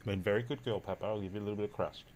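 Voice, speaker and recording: A man's voice: on the low side, fairly even intonation, racing, a little mellow, a little hiss or hum. A man giving casual, chatty commentary as he streams a game. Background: game music.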